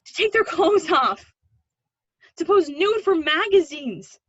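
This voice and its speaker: A woman reading the part of an older, guarded but protective woman in a play, conversational and gently teasing. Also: extremely high pitch, animated, slow pace, dry recording, muffled recording, exaggerated voice. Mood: pleading, earnest. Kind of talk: play readthrough acting.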